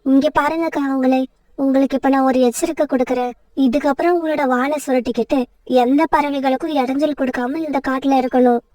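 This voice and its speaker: A woman's voice: sits high, steady tone, fairly quick, dark tone, no room sound, lightly acted. A woman voicing a principled, firm mother sparrow in a children's cartoon story. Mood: stern warning.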